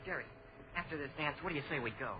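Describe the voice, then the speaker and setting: A man's voice: a little high, very fast, close to an everyday voice. An actor playing a hesitant, uneasy young American man in an old radio drama. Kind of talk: 1930s radio acting. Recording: muffled old radio recording, crowd behind.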